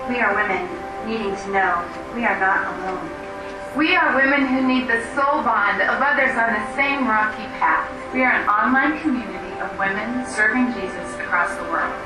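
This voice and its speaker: A woman giving a slow, earnest scripted voice-over in a promotional video. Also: a little high. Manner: slow, earnest, repeated phrasing. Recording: video played in a hall, far off, with music.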